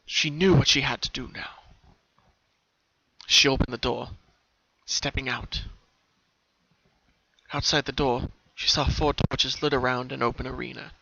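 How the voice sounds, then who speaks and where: A man reads a dramatic story as narrator, earnest and theatrical. His voice is bright and forceful at an ordinary male pitch. The sound is dull and lo-fi, with noise behind him. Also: vocal fry. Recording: dull lo-fi recording, background noise.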